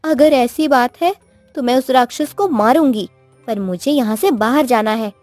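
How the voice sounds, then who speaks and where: Woman putting on young village wife, high and lifted, eager, exclaiming, questioning, cartoon moral tale, dry sound. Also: normal speed, darkish tone, dry recording, soft background music, natural voice. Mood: determined. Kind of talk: cartoon moral story acting.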